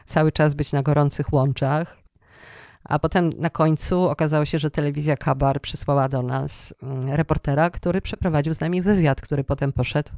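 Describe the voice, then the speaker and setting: Middle-aged woman, low-key dry recording. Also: mellow, no room sound. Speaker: interview guest, storyteller and radio programme director. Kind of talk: conversational personal storytelling in an interview.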